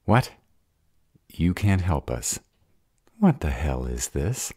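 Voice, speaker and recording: A man voicing a gruff, protective, short-tempered were-dragon in an audio roleplay, grumbling and sarcastic in a gravelly voice. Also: big pitch swings, slow, a little mellow, dry recording, studio quality, full character voice. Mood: exasperated, angry. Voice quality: deep, gravelly.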